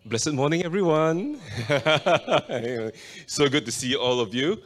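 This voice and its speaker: A man's voice: a little high, lively intonation, slow. A man from Singapore with a Singaporean accent, preaching as a guest speaker, opening in fervent prayer and reading scripture aloud. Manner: earnest, fervent praying with many repeated invocations of the Lord.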